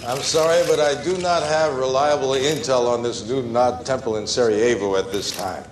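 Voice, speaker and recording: An actor playing a smooth, pompous, ambitious politician in a video game cutscene, speaking with polished emphasis. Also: male voice, mid-range voice, fairly even intonation, normal speed, slight background noise, lightly acted, low-quality recording. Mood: evasive, defensive.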